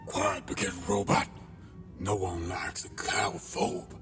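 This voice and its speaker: A man's voice: sits low, flat delivery, slow. A man playing a pompous, sentimental crab detective who narrates his log in a grandiose, melodramatic comedy audio drama style. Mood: scolding. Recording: music behind the voice.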